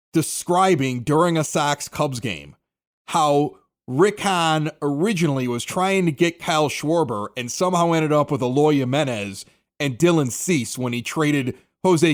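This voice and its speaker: A middle-aged man hosting a sports podcast, talking casually to listeners and guests. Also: fairly high pitch. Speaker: podcast host. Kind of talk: casual sports talk and scripted ad read.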